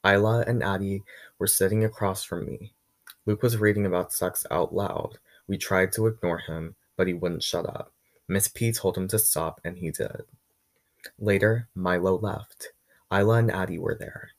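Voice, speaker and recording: A teenage boy with a deep voice, hosting his own vlog in a chatty, blunt rant that swears freely. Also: steady tone, moderate pace. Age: teens. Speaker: vlog host. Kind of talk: casual ranting monologue.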